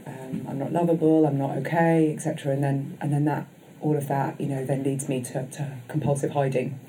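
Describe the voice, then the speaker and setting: A woman with a husky, loud voice gives a reflective, halting personal recovery share as guest speaker at a convention, speaking into a microphone. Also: a bass voice, a little hiss or hum, low-quality recording. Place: convention session room.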